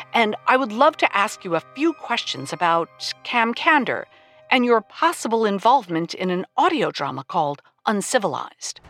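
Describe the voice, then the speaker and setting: A woman podcast host, delivering scripted investigative narration and interview questions. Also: mid-range voice.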